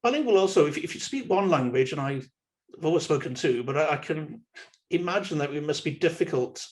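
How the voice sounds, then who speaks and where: A Welsh man whose first language is Welsh, giving an online university lecture in a measured, thoughtful way with frequent ums and uhs, recorded on a computer microphone. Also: medium pitch. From Wales.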